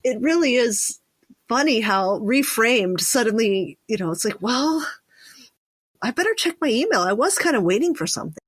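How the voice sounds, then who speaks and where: A woman's voice: fairly high pitch, big pitch swings, normal speed, husky, dry recording, clean studio sound. A woman hosting a podcast in casual conversation, informally thinking aloud and acting out little examples. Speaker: podcast host.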